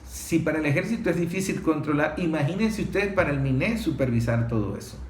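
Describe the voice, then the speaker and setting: A man's voice: on the high side, lively intonation, brisk. A man with a Venezuelan accent hosting a discussion with conversational commentary and questions. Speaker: host of an environmental discussion show. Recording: echoey room, background noise.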